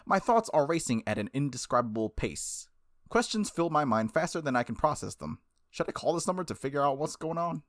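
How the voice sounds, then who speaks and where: A gaming host reading visual novel text aloud and commenting on it casually, in an animated, playful, slangy way. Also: mid-range voice, brisk.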